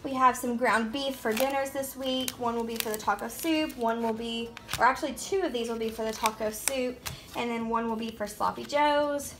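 A woman's voice: on the high side, steady tone, moderate pace, over music. A young woman, a mother, chatting casually and rambling a little as she talks straight to the camera in her home kitchen. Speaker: family vlogger. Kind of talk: casual grocery haul vlog.

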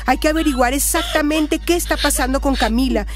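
A firm, concerned Colombian woman friend played by an actress, speaking earnestly in a high voice. It is radio soap opera acting over clear music. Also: fairly quick, close to an everyday voice. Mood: firm, insistent. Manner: earnest, insistent.

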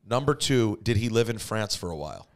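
This is a man co-hosting a casual podcast interview. He jumps in with eager questions and excited interjections, speaking close into a studio mic in a small room. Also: lowish voice, a little fast. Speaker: podcast co-host.

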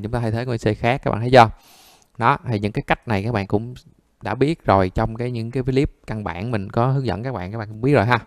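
Male instructor, lowish pitch, dry close sound, conversational step-by-step delivery. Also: clear, resonant tone, no room sound. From Vietnam. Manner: conversational, checks often with listeners. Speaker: phone repair instructor. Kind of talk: step-by-step screen tutorial.

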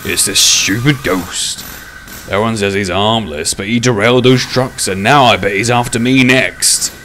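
A man's voice: lowish voice, a little slow, pushed and bright, slight background noise. A man voicing a nervous, earnest male locomotive in a children's story, easily frightened and indignant. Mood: indignant, distressed. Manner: stammering when shocked, indignant.

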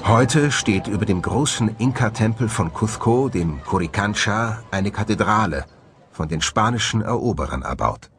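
A man's voice: fairly low pitch, expressive, moderate pace, slight background noise. A man giving scripted narration as the presenter of a documentary. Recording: music behind the voice. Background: background music.

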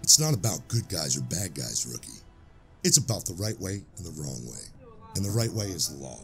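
Man playing a grizzled veteran cop, low voice, expressive swings, brooding, audio drama, music underneath. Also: gravelly, heavily acted. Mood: stern, hushed. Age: older. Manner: brooding, measured storytelling. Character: grizzled, street-hardened old police sergeant, world-weary, days from retiring. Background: background music.

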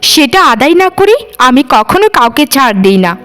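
A woman's voice: sits high, very animated, fairly quick, a bit bright. A woman voicing a greedy, nagging village wife in a cartoon, scolding and melodramatic. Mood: demanding, scolding. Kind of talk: Bengali cartoon voice acting. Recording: music behind the voice. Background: music.

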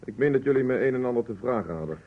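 A man's voice: mid-range voice, lively intonation, racing, husky. An actor playing a defensive, dryly sarcastic man under questioning in a vintage Dutch radio drama. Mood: indignant. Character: defensive, indignant man being questioned, candid and sardonic. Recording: muffled, narrow-band old radio recording.

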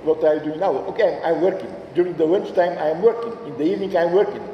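A man in his sixties with a Bulgarian accent, giving an informal welcome speech, haltingly searching for words. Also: fairly high pitch, lo-fi sound. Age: 60s. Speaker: institute director giving a speech. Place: large auditorium stage. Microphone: podium microphone. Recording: echoey hall, distant, faint noise.

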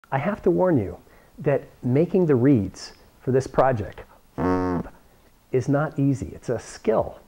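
A man's voice: mid-range voice, dark tone, breathy edge. A man, giving a plain-spoken, encouraging how-to demonstration to camera as an instructor. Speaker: science toy-making instructor. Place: indoor workroom with cabinets.

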